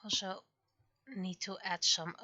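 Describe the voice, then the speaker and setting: A woman gives casual, think-aloud commentary as she works on digital art. Her voice is bright and forward at a middling pitch. It sounds close and dry, through a slightly lo-fi microphone. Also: little pitch movement, measured pace, no echo. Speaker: livestreaming webcomic artist. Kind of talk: casual livestream commentary while drawing.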